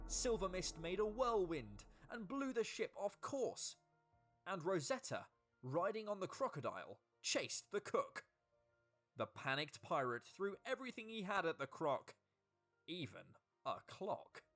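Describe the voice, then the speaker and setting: A woman reading a children's storybook aloud in an expressive, dramatic way. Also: fairly low pitch. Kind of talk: scripted children's storybook narration. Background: music.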